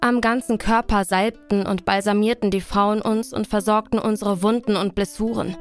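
A woman narrating an audio drama in first person, calm and measured. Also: mid-range voice, faint music behind.

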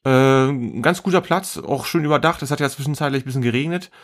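A man co-hosting a podcast, slightly hoarse, talking in a lively, colloquial way and laughing easily. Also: medium pitch, quick pace. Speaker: podcast co-host. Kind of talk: casual chat.

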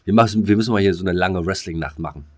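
Very fast-talking, low-voiced German man. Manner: blunt, slangy, teasing. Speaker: podcast co-host. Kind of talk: casual, bantering chat.

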